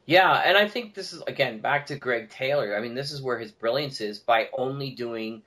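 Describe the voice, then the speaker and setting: A man's voice: mid-range voice, moderate pace, sharp tone. A man talking casually and enthusiastically as a guest on a podcast. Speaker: podcast guest, audio drama producer.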